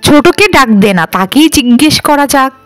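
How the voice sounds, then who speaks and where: A woman's voice: high voice, lively intonation, a little fast, no echo, soft background music. A woman voicing a vain, bossy, scornful eldest daughter of a landlord in a cartoon. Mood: haughty. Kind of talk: cartoon voice acting.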